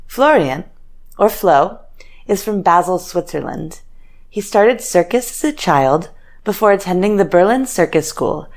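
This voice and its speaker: A woman hosting a podcast, reading a scripted intro and then interviewing a guest with upbeat, encouraging responses. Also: mid-range voice. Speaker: podcast host.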